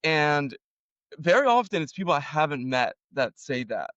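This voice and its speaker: A young man from Argentina, a podcast guest telling a story in a relaxed, self-deprecating way with lots of fillers. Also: fairly high pitch, moderate pace, no echo, dull audio. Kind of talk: casual storytelling.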